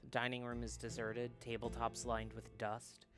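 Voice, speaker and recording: A man voicing a reflective, gently wry and resigned inn helper who narrates a visual novel. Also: mid-range voice, completely flat delivery, normal speed, music in the background, close to an everyday voice. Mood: wry, melancholy.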